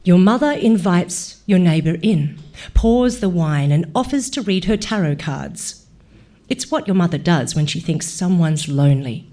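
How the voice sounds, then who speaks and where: An Australian woman, an actor reading a literary short story aloud with measured, deliberate phrasing. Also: fairly low pitch, expressive, mellow.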